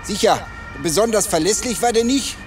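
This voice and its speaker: An actor playing a chatty, self-satisfied local police detective in a naturalistic radio crime drama, talking colloquially. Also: male voice, sits high, normal speed, recorded from a distance, soft background music, natural voice. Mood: smug, chatty.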